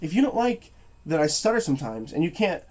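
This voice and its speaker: A man's voice: fairly high pitch, big pitch swings, darkish tone. A young man reviewing movies in a casual rant, tired and stuffy-nosed, stuttering and restarting his sentences. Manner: stuttering, halting, many fillers and restarts.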